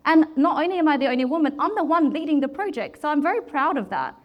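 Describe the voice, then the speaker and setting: A woman in her forties, a project manager giving a talk, with a loud voice and a direct, passionate way of speaking. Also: sits high, expressive, fast talker, lo-fi sound. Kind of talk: presentation talk with Q and A.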